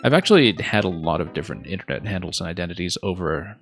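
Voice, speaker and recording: A man's voice: low-pitched, expressive, fast, creaky. A man streaming a level-making game, chatting casually with viewers in a thoughtful way, with many fillers. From not from Japan. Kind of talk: casual live-stream chat while playing. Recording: faint game music behind.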